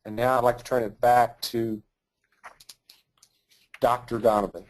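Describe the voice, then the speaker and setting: A man's voice: medium pitch, little pitch movement, a little slow. A man giving prepared remarks as a company presenter on an investor call.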